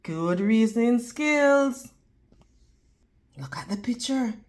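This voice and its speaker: A woman reading a children's storybook aloud like a teacher, deliberate and instructional toward young listeners. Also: on the high side, expressive, darkish tone. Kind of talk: children's storybook read-aloud with prompting questions.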